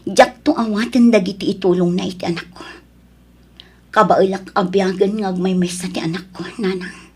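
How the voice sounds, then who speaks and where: A woman's voice: medium pitch, fairly even intonation, moderate pace, darkish tone, husky. A woman acting a protective, devoted mother in an Ilocano family drama, with heartfelt delivery. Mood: resolute, hurt.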